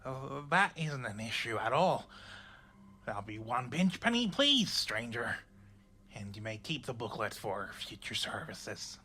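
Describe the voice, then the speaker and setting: A man's voice: medium pitch, very animated, unhurried, pushed and bright, slightly rough, heavily acted. Man voicing a polite, eager hotel servant. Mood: accommodating. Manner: courteous, slightly hesitant, addresses listener as stranger.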